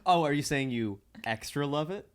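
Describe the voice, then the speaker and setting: A man's voice: fairly high pitch, expressive, normal speed. A man hosting a podcast in casual, playful comedic banter. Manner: playful, joking. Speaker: podcast host.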